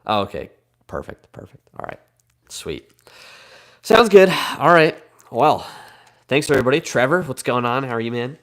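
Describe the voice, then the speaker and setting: A man's voice: mid-range voice, very animated, normal speed, pauses often, fairly bright tone. A man with a Midwestern American accent hosting a live card break, slightly congested from a cold, chatty and upbeat as he reads out names and teams.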